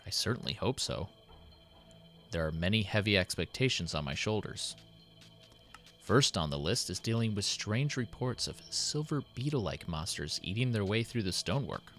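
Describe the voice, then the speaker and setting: A man voicing a worried, dutiful city president who dictates his diary in a formal, measured, solemn way. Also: fairly low pitch, animated, fairly bright tone, soft background music. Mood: burdened, hopeful. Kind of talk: dramatic in-character diary reading.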